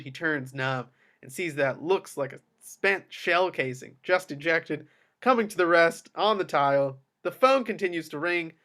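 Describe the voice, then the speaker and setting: A man with a slightly high voice narrates a film script. He reads stage directions in a present-tense, scripted style at a table read. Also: pushed and bright.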